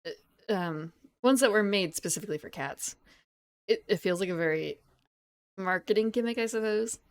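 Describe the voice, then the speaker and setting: A woman streamer chatting casually with her viewers, rambling, giggling and correcting herself, her voice still croaky from just waking up. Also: mid-range voice, normal speed. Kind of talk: casual live-stream chat.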